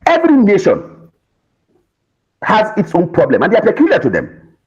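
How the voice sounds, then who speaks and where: A man's voice: sits high, wildly swooping pitch, normal speed, darkish tone, breathy edge, dull audio. A Nigerian man arguing as a guest on a political panel, emphatic and repetitive, with rhetorical questions.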